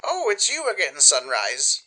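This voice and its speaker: Man putting on a raspy old ghoul mare, high-pitched. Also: huge pitch swings, unhurried, sharp tone, heavily acted, rough recording. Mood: cheery. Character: cheery elderly ghoul unicorn mare, kindly and scatterbrained. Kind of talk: radio play character voice.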